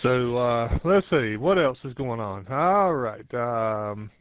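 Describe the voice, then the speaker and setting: A man's voice: mid-range voice, lively intonation, no room sound. Man, casual ham radio report, hesitant rambling delivery, dull dry link sound. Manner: halting, meandering. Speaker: amateur radio operator on a net. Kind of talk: casual radio net update. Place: home office.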